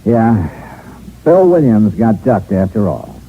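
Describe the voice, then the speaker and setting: A man's voice: on the low side, big pitch swings, slow, full character voice. A man acting as a shrewd, sardonic insurance investigator in a 1950s radio detective drama, with an American accent and clipped, dry delivery. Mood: wry, reflective. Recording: old radio recording, narrow band, music.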